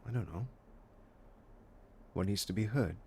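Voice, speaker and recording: An actor playing a reticent, intense musician in an audio drama, speaking with a husky voice in a halting, poetic, introspective way. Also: male voice, on the low side, warm tone. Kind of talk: naturalistic audio drama dialogue and lyrical monologue.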